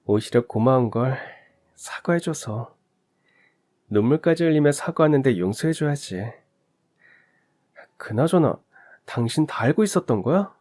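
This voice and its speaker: A man voicing a gentle, forgiving middle-aged wife who speaks softly to her husband. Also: medium pitch, lively intonation, unhurried, long pauses. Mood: relieved.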